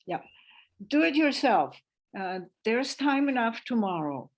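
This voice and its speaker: A woman's voice: on the high side, sing-song, a little slow. A woman actor, flustered, taking part in an informal virtual table read of a play. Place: indoors, on a video call.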